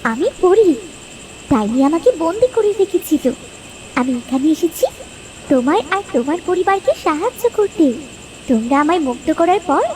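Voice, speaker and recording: Woman playing a very high-voiced benevolent fairy. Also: darkish tone, a little hiss or hum. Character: gentle, solemn fairy granting gifts to the honest. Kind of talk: Bangla cartoon voice acting.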